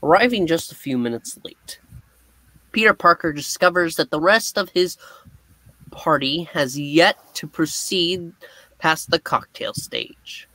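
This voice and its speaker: A man's voice: a little high, unhurried, bright, forceful tone, no echo, low-quality recording. A man narrating a comic book aloud as a dramatic live reading, with casual asides. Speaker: narrator and reader.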